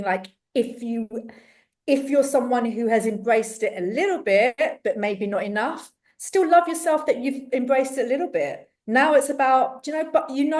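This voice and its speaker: A woman from London with a strong London accent, a cookery teacher chatting as a podcast guest, enthusiastic and quick to laugh, with many restarts. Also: on the high side, darkish tone, distant mic. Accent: London English. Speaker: guest, cookery teacher and self-love coach. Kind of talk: casual podcast interview.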